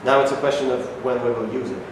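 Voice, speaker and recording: A man's voice: medium pitch, fast talker, lightly acted. A man acting an earnest, insistent military adviser to a president in a staged play reading. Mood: earnest, reporting. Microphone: far camera microphone. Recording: echoey hall, distant camera, noisy.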